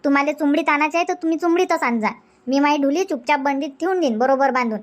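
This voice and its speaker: Cartoon comedy housewife, played by an actor: very high voice, chatty, insistent nagger, rural Vidarbha Marathi accent. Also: fast talker, lightly acted. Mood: stubborn, explaining.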